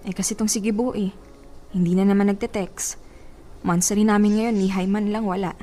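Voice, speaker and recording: A woman's voice: mid-range voice, fairly even intonation, a little fast, dark tone, natural voice. A woman acting a heartbroken young woman in a Tagalog radio drama, clinging to a cheating boyfriend in a plaintive, hesitant way. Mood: sulky, uneasy. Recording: music bed behind the voice.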